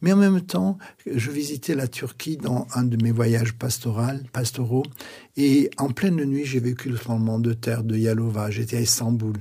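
A man's voice: medium pitch, fairly even intonation, warm tone, husky. An older man, a pastor, telling his story in a radio interview in an earnest, reflective way. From France. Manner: earnest, reflective, preacherly pauses.

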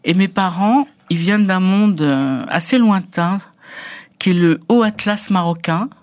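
A woman's voice: fairly low pitch, unhurried, darkish tone. A middle-aged woman photographer from North Africa, a native Arabic speaker, telling her story as a guest with many hesitations and restarts. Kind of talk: personal reflective interview talk.

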